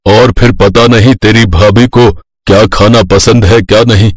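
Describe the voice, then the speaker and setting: Man playing middle-aged father-in-law, husky, lowish voice, deliberate and demanding, cartoon story. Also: fairly even intonation, dry recording. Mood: suggesting. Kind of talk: cartoon family story acting.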